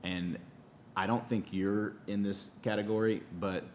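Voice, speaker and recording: A man in his forties hosts a casual business interview, asking questions and backing up his guest with short affirmations. His voice sits very low, and a faint rumble runs underneath. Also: steady tone, a little slow, a little mellow. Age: 40s. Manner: affirming, with frequent short backchannels. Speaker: podcast host.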